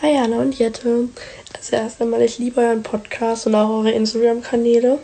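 A hoarse woman leaving an earnest, opinionated voice message for a podcast. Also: a little high, flat delivery, brisk, warm tone. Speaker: listener sending a voice message. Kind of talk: recorded voice message.

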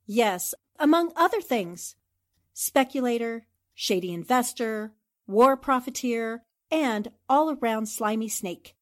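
An actress playing a plucky young newspaper reporter in a radio crime drama, cool, quick-witted and dryly wry. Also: female voice, on the high side, lively intonation. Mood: cool, disdainful. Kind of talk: old-time radio crime drama acting.

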